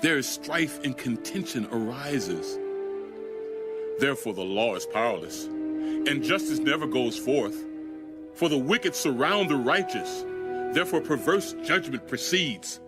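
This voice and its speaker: An actor playing an anguished Hebrew prophet who pleads solemnly with God in a dramatized audio Bible. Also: male voice, mid-range voice, animated, measured pace, close to an everyday voice. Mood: anguished pleading. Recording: music bed under the voice.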